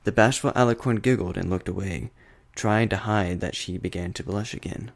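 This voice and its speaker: A man's voice: fairly low pitch, moderate pace, vocal fry. A man reading a fantasy story aloud as scripted narration.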